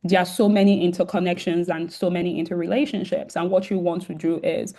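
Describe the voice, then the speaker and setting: Woman host, sore throat, lower register with some vocal fry, heavy "um" fillers, video call. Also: fairly even intonation, brisk, mellow. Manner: many "um" fillers, restarts, addresses students directly. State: sore throat, occasional coughing. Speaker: founder and host of a virtual career event. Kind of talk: casual welcoming talk on a video call.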